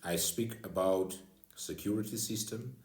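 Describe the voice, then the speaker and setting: A retired older man addresses his audience in careful, non-native English with an Armenian accent. His voice sits very low and he takes his time, pausing and searching for words. The room around him rings with noticeable echo. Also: a little mellow. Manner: slow, halting non-native English with pauses and fillers. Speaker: retired official addressing followers. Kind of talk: direct address to camera.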